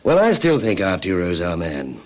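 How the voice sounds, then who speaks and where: Casting: detective colleague, fairly low voice, shrewd, pragmatic, matter-of-fact, classic radio crime drama. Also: male voice, wildly swooping pitch, normal speed, a little mellow, full character voice. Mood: businesslike.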